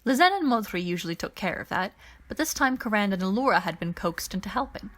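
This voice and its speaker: A woman reading fiction aloud as an expressive amateur audiobook narrator. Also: medium pitch, quick pace. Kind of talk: expressive scripted story narration.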